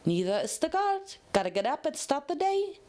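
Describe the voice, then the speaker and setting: A woman's voice: high-pitched, very animated, normal speed, darkish tone, voice pushed up. A woman voicing a bubbly, guileless and innocently oblivious pink pony mare in a dramatic story reading. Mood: cheerful.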